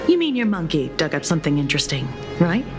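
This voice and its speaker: A shrewd, composed policewoman from a video game. Her voice is on the low side, measured and teasing with a skeptical edge. Music is clearly heard underneath. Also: sing-song. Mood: dry, teasing.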